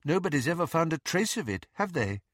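A man's voice: on the high side, big pitch swings, a little fast, a bit bright, no room sound, clean studio sound, pitched above the performer's own voice. A man voicing a helpful teenage schoolgirl in an audiobook, earnest and appealing. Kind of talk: audiobook character voice.

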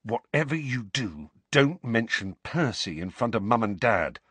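Blunt teenage lad, acted by a man, talkative and grumbly, animated pitch. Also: normal speed, breathy edge, no room sound. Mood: tense. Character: teenage boy, blunt, grumbling, eager to explain.

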